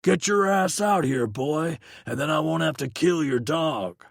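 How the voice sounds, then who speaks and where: A man's voice: on the high side, lively intonation, unhurried, vocal fry, no echo, clean studio sound, pitched above the performer's own voice, heavily acted. A man voicing a menacing, abusive man who snarls threats from outside a door. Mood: threatening.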